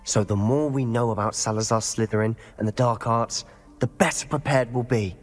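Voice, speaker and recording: An actor playing a determined young wizard student who speaks in a wry way. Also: male voice, medium pitch, steady tone, normal speed, soft background music, lightly acted. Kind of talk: video game voice acting.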